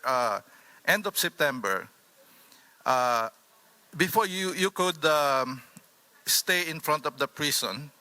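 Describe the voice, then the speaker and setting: A man with a Filipino accent speaking informally as a panelist, in a halting, anecdotal way. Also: medium pitch, pushed and bright, no room sound. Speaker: author on a discussion panel.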